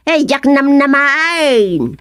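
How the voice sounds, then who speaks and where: An actress with an Ilocano accent playing a gossipy, expressive village woman in a radio comedy-drama. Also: high-pitched, drawn-out words, dry recording, studio quality, heavily acted.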